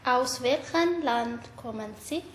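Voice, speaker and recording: A woman asking interview questions in a formal, halting learner's way. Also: high-pitched, fairly bright tone. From Eritrea. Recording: echoey room, faint background noise.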